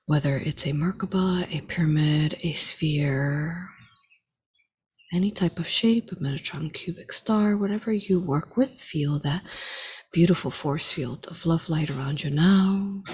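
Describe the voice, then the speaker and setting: A woman leading a slow guided meditation in a hushed, unhurried, breathy manner. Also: low voice.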